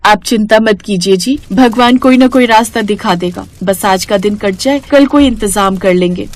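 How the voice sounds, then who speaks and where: A woman's voice: medium pitch, moderate pace, natural voice. A woman voicing a poor young village wife, anxious and devoted, speaking in an earnest, pleading way. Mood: reassuring.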